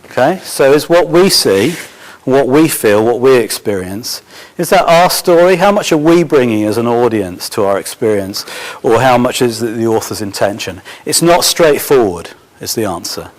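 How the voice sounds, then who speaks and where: A man giving a conference talk as a film school course leader, halting and full of fillers and restarts, his voice a little hoarse. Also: mid-range voice, expressive, low-quality recording. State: slightly hoarse.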